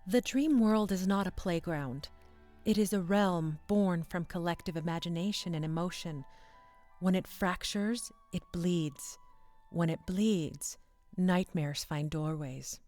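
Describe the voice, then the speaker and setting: A woman voicing a calm, commanding professor of magic, measured, solemn and gentle but firm, in a fantasy story. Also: lowish voice, faint music behind, lightly acted.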